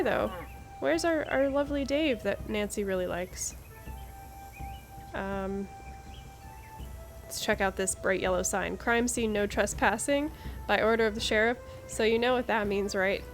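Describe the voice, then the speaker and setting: A woman's voice: mid-range voice, gravelly. Chatty woman gamer, faint music behind. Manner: chatty, reacts aloud with interjections. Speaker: gaming video host. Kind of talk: casual playthrough commentary.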